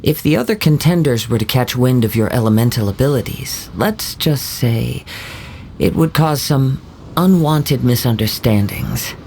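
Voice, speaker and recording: A man voicing a calm young wandering samurai who speaks in a formal, measured, courteous way. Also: mid-range voice, creaky, a little hiss or hum. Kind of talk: video game voice acting.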